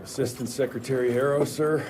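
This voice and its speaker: A man's voice: medium pitch, fairly even intonation, a little fast, noisy background, natural voice. A man playing a plain-spoken, determined Western agent in a video game cutscene, direct and folksy. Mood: earnest, determined.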